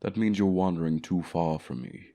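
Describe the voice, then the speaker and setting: Guy doing a pompous dragon for fantasy asmr, really deep voice, talks down to his human, bossy and formal. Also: moderate pace, mellow. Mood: stern, commanding. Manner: pompous, condescending, formal diction. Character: haughty male dragon, commanding keeper of a human pet. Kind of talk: scripted ASMR fantasy roleplay.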